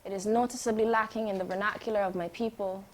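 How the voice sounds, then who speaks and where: A woman with a Barbadian accent performing spoken word poetry in a rhythmic, dramatic delivery that swings from loud lines to hushed ones. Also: medium pitch, fairly even intonation, fast talker, slight background noise.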